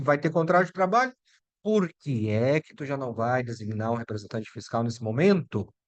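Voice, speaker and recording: A middle-aged man with a Brazilian Portuguese accent, speaking at an average pitch. He explains legal rules casually and bluntly, like a lawyer talking straight to his viewers. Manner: chatty and direct, addresses viewer as "tu" and "meu filho". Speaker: immigration lawyer.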